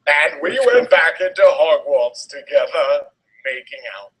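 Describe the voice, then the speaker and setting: A man reads fan fiction with theatrical excess. His voice is sharp and fairly high, leaping around in pitch and breaking into exaggerated delivery, at an unhurried pace. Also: lively intonation, husky, low-quality recording. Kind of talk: dramatic reading of fan fiction.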